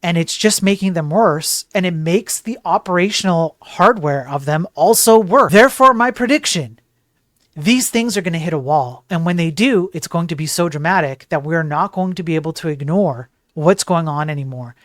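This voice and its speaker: A man's voice: a little high, normal speed, fairly bright tone, no echo, clean studio sound. A man giving opinionated tech news commentary on a livestream, emphatic and digressive, tossing in rhetorical questions. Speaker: tech news streamer and programmer.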